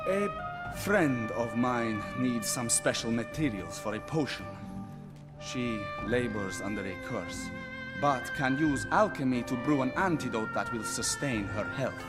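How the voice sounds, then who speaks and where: An actor playing a proud former soldier and sword-for-hire in a fantasy video game, speaking formally. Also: male voice, mid-range voice, expressive, measured pace, over loud music, natural voice. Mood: confiding. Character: boastful swordsman, former soldier, proud yet loyal bodyguard.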